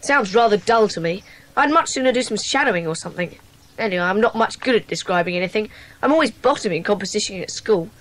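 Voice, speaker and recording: Woman playing a quick-talking grumbling schoolboy. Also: mid-range voice, animated, a bit bright, natural voice, poor audio. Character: grumbling, impatient schoolboy club member. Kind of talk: dramatised children's audiobook acting.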